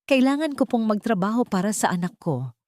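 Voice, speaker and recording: A woman voicing a firm, dignified young mother in an audio story, speaking in a cold but polite, steady way. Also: mid-range voice, a little fast, no echo, clean studio sound, voice pushed up, lightly acted.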